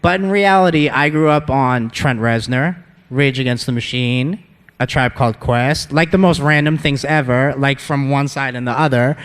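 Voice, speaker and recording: A man's voice: medium pitch, moderate pace, clear, resonant tone. A man, an MC giving a workshop, talking loosely to an audience with many fillers. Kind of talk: casual talk to an audience. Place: conference hall. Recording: reverberant hall.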